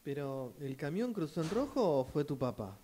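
Un hombre con acento argentino que interpreta en un radioteatro a un amigo preocupado, informal y algo titubeante. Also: male voice, fairly high pitch, sing-song, mellow, a little hiss or hum. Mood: questioning. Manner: informal, halting. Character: concerned male friend offering condolences and asking questions. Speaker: radio drama workshop participant. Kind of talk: rehearsed radio drama acting.

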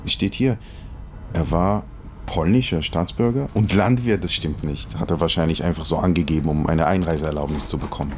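A middle-aged man from Israel speaking in a halting, conversational way, as in a documentary dialogue. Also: a bass voice, a little mellow, slight background noise. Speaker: radio producer searching for relatives.

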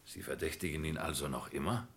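A man's voice: rumbling low, brisk. A man playing a loyal, flustered lawyer in a German crime radio play, hesitant yet emphatic. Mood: protesting.

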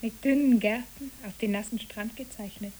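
A woman's voice: fairly high pitch, moderate pace, dark tone, slight background noise, natural voice, low-quality recording. A woman playing a dreamy, imaginative child in a poetic radio play, speaking earnestly and lyrically. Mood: wistful.

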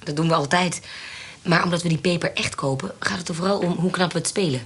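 An actress playing a shrewd, bossy swindler and mistress of a country house in a radio play, brisk and sly. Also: female voice, lowish voice, breathy edge. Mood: scheming. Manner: brisk, commanding, slyly teasing. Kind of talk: Dutch radio play acting.

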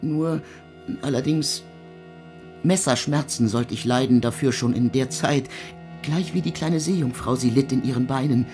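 Ein Mann spielt einen gequälten Komponisten, der in einem Hörspiel in altertümlichem Deutsch feierlich und stockend seine Sünden beichtet, mit heiserer Stimme. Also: male voice, mid-range voice, darkish tone, soft background music, natural voice. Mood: confessional, wistful. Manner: solemn, archaic, halting confession. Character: tormented composer confessing his sins in archaic German. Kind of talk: radio play monologue.

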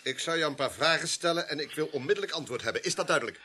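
Imperious, sharp-voiced police inspector. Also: male voice, a little high, lively intonation, racing, breathy edge, exaggerated voice. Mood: commanding. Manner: curt, commanding, insistent. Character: imperious, impatient police inspector, stubbornly certain. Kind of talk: radio play dialogue.

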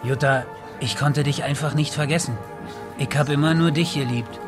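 A man's voice: a little high, steady tone, normal speed, natural voice. Actor as a flirtatious man over music. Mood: ardent. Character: ardent, familiar old flame of a dreamy woman. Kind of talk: radio drama dialogue.

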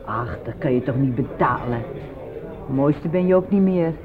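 An actress playing a sceptical, sharp-tongued wife who questions and needles in a radio drama style. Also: female voice, a bass voice, lively intonation, normal speed, crowd noise. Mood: doubtful, probing. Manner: sardonic, questioning. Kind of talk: Dutch radio drama acting. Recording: tinny, narrow-band old radio play.

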